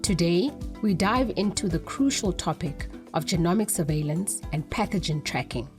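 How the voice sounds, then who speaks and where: A woman's voice: fairly low pitch, expressive, moderate pace, music in the background. A woman hosting a podcast, delivering scripted narration and interview questions. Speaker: podcast host.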